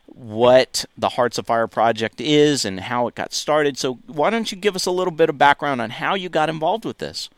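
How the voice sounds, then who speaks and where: A man's voice: on the high side, normal speed, hardly any pauses, dry recording. A man hosting a talk radio show, speaking enthusiastically and rambling, with many restarts. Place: radio studio.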